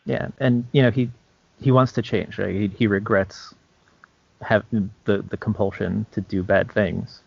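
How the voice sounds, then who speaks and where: A man's voice: on the low side, steady tone, measured pace, vocal fry, slightly rough, dry recording, dull audio. A man co-hosting a podcast in easygoing, casual chat. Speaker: podcast co-host.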